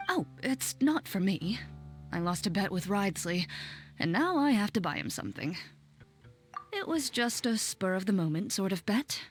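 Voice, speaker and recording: A medium-pitched young woman, voiced by an actress speaks with composure and a wry edge. She is a matter-of-fact video game character, with faint music underneath. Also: very animated, moderate pace, lightly acted. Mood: wry, amused.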